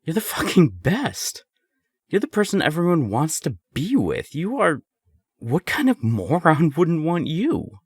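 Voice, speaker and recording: A man's voice: a little high, very animated, a little slow, slightly rough, dry recording, clean studio sound, heavily acted. A young man acting a candid serial-dater friend who is secretly smitten, conversational and emphatic, in an intimate audio roleplay. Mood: indignant, adoring. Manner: conversational, hesitant, emphatic swearing.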